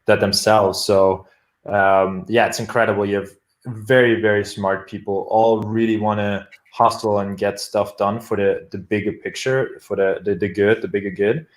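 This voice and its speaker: A man's voice: on the low side, steady tone, moderate pace, echoey room. A young man from Germany with a thick German accent, a guest talking casually about his work with lots of fillers and restarts. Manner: rambling, many fillers and restarts. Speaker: guest product manager. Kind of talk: casual interview chat.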